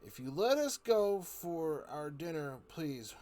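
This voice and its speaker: A man's voice: on the high side, big pitch swings, slow pace, a little mellow, soft background music. A man voicing a polite, anxious little girl from a children's storybook. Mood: pleading. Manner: polite, pleading. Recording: noticeable background noise.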